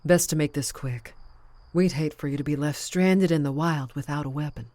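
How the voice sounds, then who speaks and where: A woman playing a rough-spoken, cowardly bandit in a fantasy visual novel. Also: low-pitched, moderate pace, mellow, no room sound, lightly acted. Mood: coolly threatening. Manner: colloquial, rough grammar.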